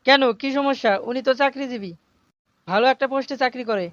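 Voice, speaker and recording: A friendly, curious neighbour man voiced by an actor chats quickly. The cartoon dialogue sounds dull and lo-fi. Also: high-pitched, very noisy, close to an everyday voice.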